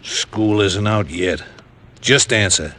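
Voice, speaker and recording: A menacing tough guy from a vintage radio crime drama. He talks in a fairly low voice, curt and slangy, with a sarcastic, taunting edge. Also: slow pace, fairly bright tone.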